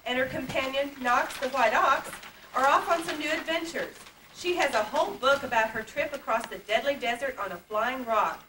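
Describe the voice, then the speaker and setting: A woman playing a kindly, reassuring young princess in an amateur puppet play, speaking earnestly. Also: sits high. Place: indoor puppet stage, far camera. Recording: distant, echoey, noisy old camcorder tape.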